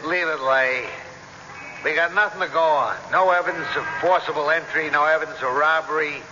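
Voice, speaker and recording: Bright, brusque police sergeant. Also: male voice, on the high side, fairly even intonation, fairly quick. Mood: brusque, dismissive. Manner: brusque, wisecracking, bossy. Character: gruff, world-weary male police sergeant, sardonic veteran. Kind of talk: 1970s radio drama acting. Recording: dull, noisy, reverberant 1970s radio recording.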